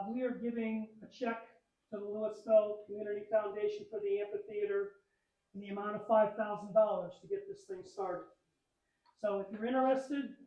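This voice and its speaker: A man giving an informal prepared speech at a banquet, pitching a fundraising project to club members. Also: dark tone, lo-fi sound. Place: banquet event room. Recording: roomy echo, distant microphone.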